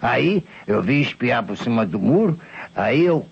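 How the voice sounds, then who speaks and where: Gravelly, stammering old Brazilian vagabond. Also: male voice, medium pitch, very animated, measured pace, slight background noise. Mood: awed, insistent. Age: older. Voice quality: gravelly, husky. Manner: stammering, rambling, folksy, humble. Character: old tipsy male vagabond beggar, frightened, insistent witness. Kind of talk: old radio mystery serial acting. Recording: muffled vintage radio drama recording.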